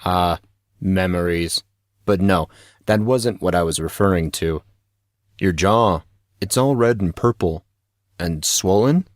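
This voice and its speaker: Man playing a low-voiced, serious teenage friend. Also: slow, long pauses, no room sound, clean studio sound. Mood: concerned. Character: teenage boy, troubled, weary, loyal friend.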